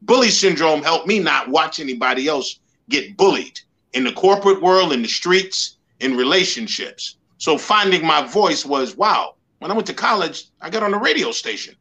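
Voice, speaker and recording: Man in his sixties, from the Bronx, bright voice, earnest emphatic storyteller. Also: on the high side, lively intonation, normal speed, few pauses. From New York, the Bronx. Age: 60s. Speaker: guest, motivational speaker and life coach. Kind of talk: interview conversation.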